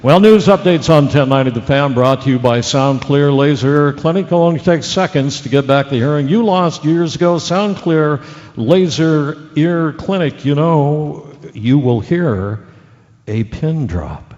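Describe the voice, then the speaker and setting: An actor playing a bumbling, chatty local radio news anchor who reads bulletins in a halting, folksy way with rambling asides. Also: male voice, on the high side, darkish tone, big-room sound, dull audio, natural voice. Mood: salesy. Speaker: radio news and weather reader.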